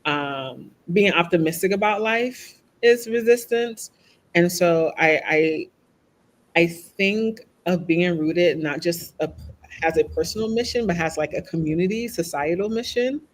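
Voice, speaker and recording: A woman speaks as a guest in a relaxed interview. She is open and reflective, and she works through her thoughts as she goes. She keeps tagging her points with a soft "right?". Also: on the low side, measured pace. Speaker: podcast guest. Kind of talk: candid conversational interview.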